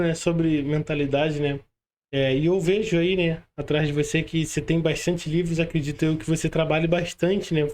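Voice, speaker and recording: A man with a Brazilian accent interviewing as a podcast host, halting and repetitive, often adding tag questions. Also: a little high, darkish tone. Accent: Brazilian Portuguese. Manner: halting, repetitive, frequent "né" tags. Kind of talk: conversational interviewing.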